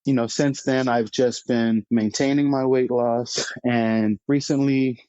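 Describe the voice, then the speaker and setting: A man's voice: mid-range voice, fairly even intonation, unhurried, dark tone, smooth, no room sound. A young man from the Bahamas, a guest telling his story in a casual interview, chatty and laughing often between "uh" fillers. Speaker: guest, founder. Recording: slightly dull lo-fi remote audio.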